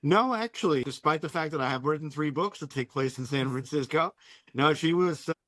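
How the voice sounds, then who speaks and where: A man's voice: a little high, brisk, fairly bright tone, no room sound. Danish man chatting, dry close sound. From Denmark. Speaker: author being interviewed. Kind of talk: casual conversation.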